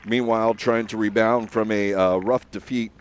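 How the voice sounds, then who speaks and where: A man giving live play-by-play commentary on a soccer match, steady and filler-heavy with frequent pauses. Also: lowish voice, clean tone.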